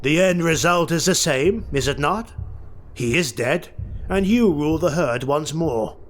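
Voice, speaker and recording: A man voicing a calculating dark elf warrior lord, measured, commanding and coolly formal, in a fantasy audiobook. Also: on the high side, expressive, voice pushed up. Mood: smooth, confident.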